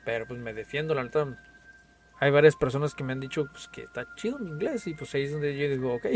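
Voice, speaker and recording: A man's voice: mid-range voice, animated, fast talker, slight background noise. A young Mexican man with a Mexican accent, chatting casually on a podcast, full of slang and swearing. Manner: slangy, swears a lot, says "güey" constantly. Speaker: podcast co-host. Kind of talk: casual chat.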